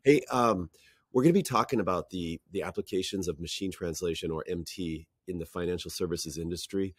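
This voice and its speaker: A man in his fifties hosting a video call interview from an outdoor deck, asking questions in a relaxed way. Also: deep voice, brisk, breathy edge, no echo, studio quality. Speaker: host.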